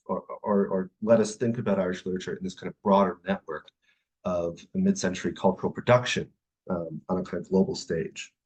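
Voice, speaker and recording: Male scholar, low husky voice, presenting research in a hesitant, discursive manner. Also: a little mellow, rough recording. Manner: hesitant, discursive, hedging phrasing. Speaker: academic speaker, literary scholar. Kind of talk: conference presentation, semi-improvised talk.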